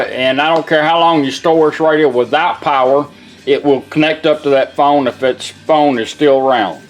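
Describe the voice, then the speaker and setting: A man giving a casual, plain-spoken hands-on product demonstration in an informal way. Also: a little high. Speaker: car radio restorer demonstrating product.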